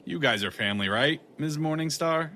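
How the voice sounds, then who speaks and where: An actor playing a world-weary, sarcastic, blunt private detective in a noir audio drama. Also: male voice, on the high side, lively intonation, fairly quick, bright, forceful tone. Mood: scornful. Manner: sardonic, wry.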